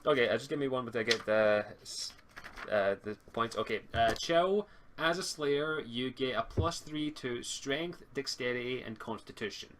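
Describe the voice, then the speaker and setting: A man's voice: medium pitch, moderate pace, fairly bright tone, slight background noise. A man acting as game master, explaining tabletop game rules over an online call, often stammering and restarting his words.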